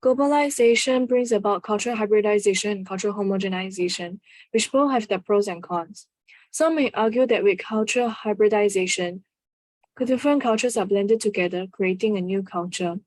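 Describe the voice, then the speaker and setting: A woman's voice: medium pitch, brisk, a little mellow, no echo, poor audio. Young woman reading an answer, very dry. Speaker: student.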